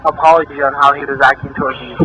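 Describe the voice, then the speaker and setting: A man's voice: mid-range voice, little pitch movement, rapid-fire. A man making an improvised prank call in a mock-official, persistent, argumentative manner. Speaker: prank caller. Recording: noisy lo-fi recorded phone call.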